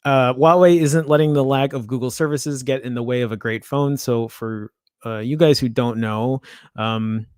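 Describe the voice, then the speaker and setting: A man's voice: medium pitch, fairly even intonation, moderate pace, darkish tone, clean tone, no room sound, clean studio sound. A man with a Chicago accent hosting a casual tech news show, reading an article aloud and breaking off into chatty asides and little stumbles.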